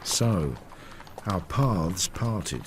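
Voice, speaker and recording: A man's voice: sits low, expressive, drawn-out words, noisy background, full character voice. An actor playing a reflective, wry police detective who narrates his own investigation in a radio drama. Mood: reflective, puzzled. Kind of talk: scripted first-person radio drama narration.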